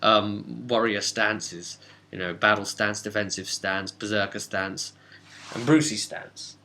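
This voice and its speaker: A man's voice: on the low side, steady tone, slow, pushed and bright, a little hiss or hum. A man, chatty and rambling, casually retelling a tabletop role-playing session as its game master and slipping into dialogue. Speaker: game master and vidcast host.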